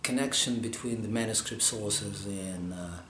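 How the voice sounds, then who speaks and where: A man from Russia, a scholar answering interview questions, pausing often as he searches for his words. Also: fairly low pitch. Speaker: scholar interviewed about manuscript studies. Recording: roomy echo, faint background hum.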